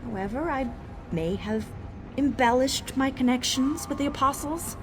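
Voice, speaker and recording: A woman's voice: high-pitched, expressive, normal speed, background noise. A woman voicing an eager, boastful young female mage tinkerer in a video game, dramatic and nervously evasive. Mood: sheepish, confessing. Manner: dramatic, self-congratulating, hesitant when cornered. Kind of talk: video game character dialogue.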